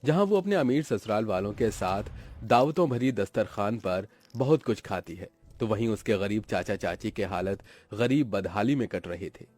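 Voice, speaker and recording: Low-voiced male cartoon story narrator. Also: lively intonation, moderate pace, darkish tone. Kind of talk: dramatic scripted storytelling for a cartoon.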